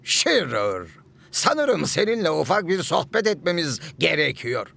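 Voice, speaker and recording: A man's voice: fairly high pitch, sing-song, measured pace, creaky, pushed and bright, full character voice. A man voicing a vain, irritable groundskeeper and schemer in a cartoon dub, boastful and theatrical in his scolding. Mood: gloating. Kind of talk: animated cartoon dub acting.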